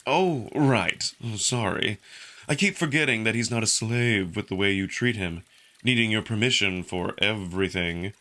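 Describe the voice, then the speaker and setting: A man's voice: fairly low pitch, expressive, moderate pace, sharp tone. A man voicing a suave, smugly curious male jackal alien in a visual novel, with polite, needling, unruffled delivery. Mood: mock apologetic. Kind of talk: visual novel character acting.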